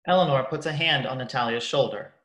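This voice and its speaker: Narrator for radio play: young woman, very deep voice, reading stage directions, roomy echo. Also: brisk, a bit bright.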